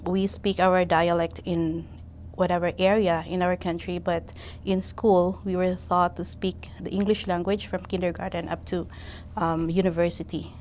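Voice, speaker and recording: Filipino woman, lowish flat voice, steady explaining, slight hum. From Philippines. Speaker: guest. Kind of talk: casual interview.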